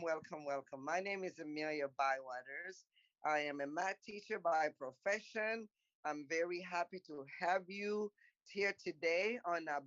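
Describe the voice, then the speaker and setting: A woman's voice: lowish voice, a bit bright, no echo, dull audio. A woman from Congo whose first language is French, hosting and moderating an online panel in a chatty, encouraging way and thinking aloud as she types. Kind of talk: casual hosting of an online talk.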